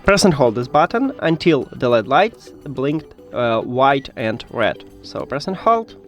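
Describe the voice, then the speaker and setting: Man giving step-by-step tech instructions, lively pitch. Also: unhurried, soft background music. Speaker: tech tutorial presenter. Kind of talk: step-by-step spoken tutorial.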